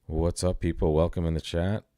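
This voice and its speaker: Low-voiced joking bridge commentator. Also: male voice, level tone, moderate pace, mellow, no echo, studio quality. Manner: joking, chatty, self-deprecating. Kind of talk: casual live commentary.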